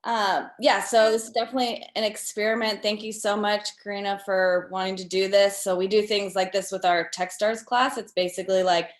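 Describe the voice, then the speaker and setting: A woman's voice: medium pitch, fairly even intonation, fairly quick, hardly any pauses. A woman hosting an online event in a casual, informal and easygoing chat style. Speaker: event host. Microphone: video call. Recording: roomy, echoing video call.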